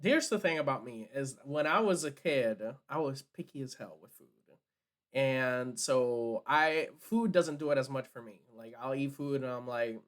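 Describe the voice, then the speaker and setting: A man's voice: mid-range voice, normal speed, fairly bright tone. A man from Puerto Rico co-hosting a podcast in casual, slangy chat, swearing freely and acting out dialogue. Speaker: podcast co-host.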